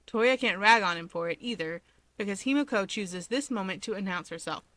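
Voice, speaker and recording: A woman reading scripted story narration in an expressive manner. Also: medium pitch, brisk, no echo. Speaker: fan fiction reader.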